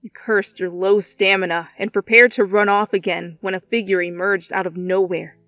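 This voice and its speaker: A woman reading a story aloud as narrator, with expressive second-person narration. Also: medium pitch, moderate pace, no room sound. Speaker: narrator, fan fiction reader. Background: background music.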